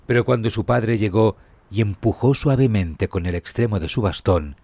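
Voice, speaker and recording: A man hosting a true crime podcast, reading a scripted story in a measured, suspenseful way. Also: lowish voice, a little mellow, dry recording. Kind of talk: scripted dramatic storytelling narration.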